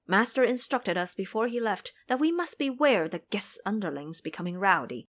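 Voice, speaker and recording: A woman's voice: animated, normal speed, fairly bright tone. A woman voicing a young Taoist boy attendant who is indignant and accusing. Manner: shrill, accusing.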